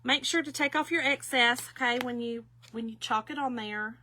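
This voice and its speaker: A woman in her early fifties walks viewers through a craft project in a loose, talkative way. Her voice has a bright, forward edge, and she keeps checking in with the audience as y'all. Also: a little high, normal speed, a little hiss or hum. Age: 50s. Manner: chatty, folksy, addresses viewers as y'all. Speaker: craft demonstrator. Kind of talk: casual craft tutorial.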